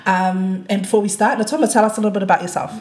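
A woman's voice: medium pitch, fairly even intonation, rapid-fire, a little mellow. A woman from New Zealand hosting a casual podcast interview. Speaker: podcast host. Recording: echoey room sound.

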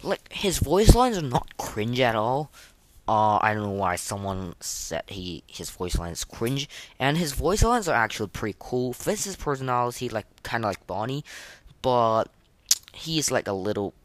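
A man hosting a casual reaction podcast, rating things in an informal, rambling way with a lot of halting fillers. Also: medium pitch, big pitch swings, no room sound. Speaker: podcast host. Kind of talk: casual reaction commentary, rating game voice lines.

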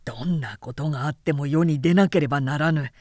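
A woman voicing a proud, brooding young samurai elder brother, speaking gravely and resolutely in old-fashioned style, in a story reading. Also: deep voice, fairly quick, a little mellow, dry recording, studio quality, pitched below the performer's own voice. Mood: fiercely resolute.

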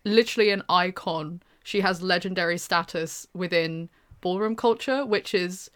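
Smooth-voiced young British woman, chatty. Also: fairly low pitch, moderate pace. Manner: hesitant, many fillers and restarts. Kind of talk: casual chat.

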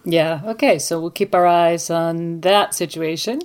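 A woman's voice: lowish voice, a little slow, a little mellow. A woman hosting a podcast discussion, summing up and steering the topics in an organised way. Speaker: podcast host. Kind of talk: hosting a discussion, partly scripted.

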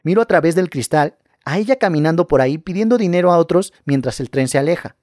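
Higher-voiced man telling eerie tales. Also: lively intonation, quick pace, darkish tone, dry recording, clean studio sound. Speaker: narrator. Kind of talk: scripted narration of scary stories.

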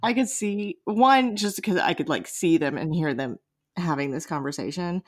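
A woman with a slightly low voice co-hosts a casual discussion podcast. She is enthusiastic and very informal, peppering her sentences with filler "like" as she puts questions and opinions. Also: normal speed. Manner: informal, enthusiastic, says "like" constantly. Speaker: podcast co-host and interviewer.

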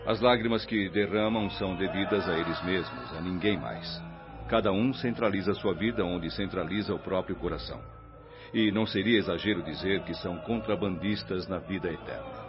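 A man acts out a solemn Brazilian spirit instructor in a radio drama. His voice sits very deep, and he explains with grave, measured authority. The sound is slightly dull and lo-fi. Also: over music, lightly acted. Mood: grave, instructive. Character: calm, stern male spirit instructor, mature and didactic.

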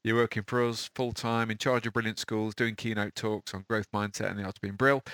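A man's voice: on the low side, level tone, brisk, fairly bright tone, no echo, studio quality. A man hosting a podcast interview, matey and upbeat, often restarting his phrases. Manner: matey and upbeat, with frequent repeated starts. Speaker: podcast host.

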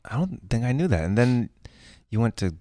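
A man's voice: mid-range voice, very animated, fairly quick, dark tone, dry recording, clean studio sound. A man hosting a comedy interview podcast, playfully tossing in follow-up questions. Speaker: podcast host.

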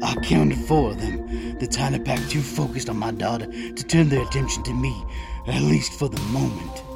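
An actor playing a desperate, protective father in a post-apocalyptic audio drama, narrating tensely and deliberately in the first person. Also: mid-range voice, gravelly, over music. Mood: urgent, fearful.

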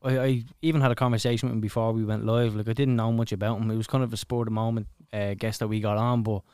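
A man's voice: on the low side, level tone, darkish tone, clear, resonant tone, dry recording, studio quality. A man co-hosting a podcast, chatting casually with swearing and laughter. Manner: quick, swearing, laughing banter. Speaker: podcast co-host.

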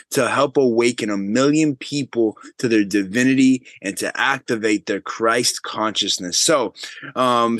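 A man hosting an interview podcast, earnest and encouraging, often giving soft murmured agreement. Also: mid-range voice, no room sound. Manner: earnest, affirming with soft "mm" cues. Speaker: podcast host.

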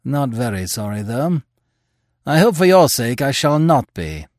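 A man voicing a calm, kindly but ruthless gentleman who speaks quietly and deliberately. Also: mid-range voice, no echo, clean studio sound, voice pushed up. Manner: quiet, slow and measured.

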